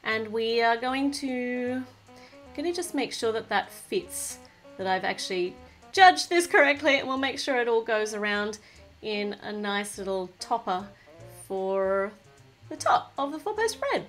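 Chatty high-voiced woman building on a tabletop. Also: lively intonation, a little slow, a bit bright, faint music behind. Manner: chatty, thinks aloud, gushes over cute details. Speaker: toy building vlogger. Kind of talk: casual build commentary.

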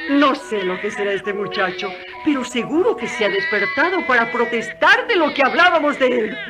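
An actress playing a sleepy, outspoken maidservant in a radio drama, fussy and complaining. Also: female voice, on the high side, animated, normal speed, loud music behind the voice, lightly acted. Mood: exasperated.

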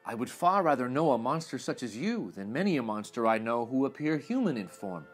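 A man voicing a kind, honest young woman in a storybook, soft and earnest as he pleads. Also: mid-range voice, big pitch swings, a little fast, faint music behind, pitched below the performer's own voice, close to an everyday voice.

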